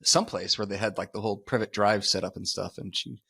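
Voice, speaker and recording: Husky-voiced man hosting a tech podcast. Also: lowish voice, steady tone, quick pace, a bit bright, no room sound. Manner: jokey, upbeat, with filler words. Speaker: podcast host. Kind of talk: casual tech podcast chat.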